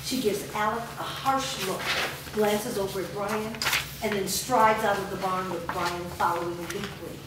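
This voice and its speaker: A woman's voice: mid-range voice, fairly even intonation, unhurried. A woman reading scripted stage directions as narrator at a staged reading. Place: echoey room at a live staged reading. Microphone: distant microphone. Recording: distant, very echoey, noisy room recording.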